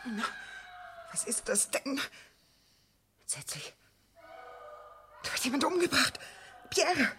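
An actress playing a frightened, insistent wife in a German radio crime drama, urgent and dramatic. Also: female voice, high voice, lively intonation, slow pace, pauses often, background noise.

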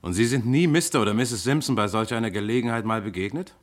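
An actor playing a composed police inspector in a German radio crime drama, questioning suspects with pointed insistence. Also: male voice, lowish voice, quick pace, close to an everyday voice. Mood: probing, pointed.

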